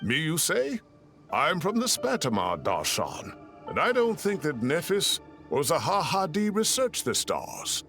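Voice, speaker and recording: A man's voice: fairly high pitch, wildly swooping pitch, unhurried, a bit bright, exaggerated voice. An actor playing a fussy, kindly older male professor in a video game, chatty and theatrical with some mock grumbling. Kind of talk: animated video game voice acting. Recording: music under the voice.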